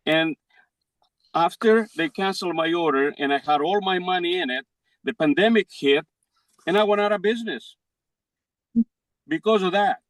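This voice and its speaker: An older man with a Greek accent, Greek his first language, pleading his case to a judge in halting, broken English. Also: high voice, no room sound, rough recording. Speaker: defendant in court. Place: courtroom.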